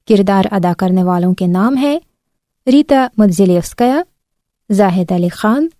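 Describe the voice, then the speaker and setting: A woman's voice: medium pitch, expressive, measured pace, warm tone, no echo, studio quality. A woman making a formal radio announcement in the manner of closing credits.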